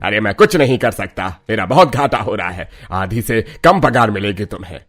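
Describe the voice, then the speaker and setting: Man as a bright, forceful, blunt tradesman. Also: fairly low pitch, lively intonation, normal speed. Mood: angry.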